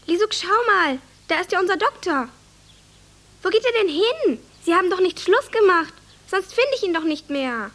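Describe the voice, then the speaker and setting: A woman's voice: extremely high pitch, expressive, moderate pace, fairly bright tone. An actress playing an earnest, bossy young village girl in a radio drama, speaking in an eager, childlike way. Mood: agitated. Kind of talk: German radio drama acting.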